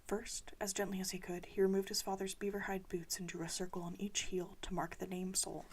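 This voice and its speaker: A woman reading a story aloud in a soft, steady whisper. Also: mid-range voice, brisk, breathy edge. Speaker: reader.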